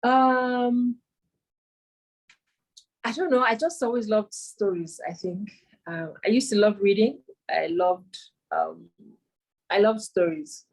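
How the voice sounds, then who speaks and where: A Nigerian woman in her thirties, a poet and author, recalling her childhood over a video call, pausing to search for words. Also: medium pitch, rough recording. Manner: thoughtful, searching for words with frequent ums. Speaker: interviewee, performance poet and author. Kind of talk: remote interview, casual reminiscing.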